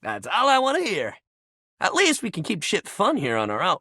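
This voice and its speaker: Casting: laid-back young jock coach, a living shuttlecock in a video game. Very lively, swooping intonation, slangy and encouraging, dry close recording. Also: male voice, high voice, normal speed, breathy edge, no room sound, full character voice. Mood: warm, encouraging. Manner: slangy, bro-ish, encouraging, swearing casually. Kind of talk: video game character voice acting.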